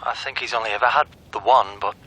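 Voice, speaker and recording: An actor playing a brisk, suspicious businessman heard over the telephone in a radio drama, curt in manner. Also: medium pitch, fairly even intonation, bright, forceful tone, husky, poor audio. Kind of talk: naturalistic radio drama acting.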